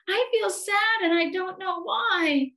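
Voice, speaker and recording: A woman voicing a small, shy, excitable little boy with a squeaky voice in a storybook. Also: unhurried, echoey room, full character voice. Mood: sad, sighing.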